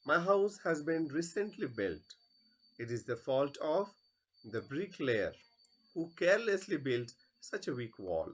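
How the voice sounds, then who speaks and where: Man acting anxious merchant, swinging pitch. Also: sing-song, measured pace, breathy edge, full character voice. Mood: pleading, defensive. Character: anxious, deferential male merchant shifting blame.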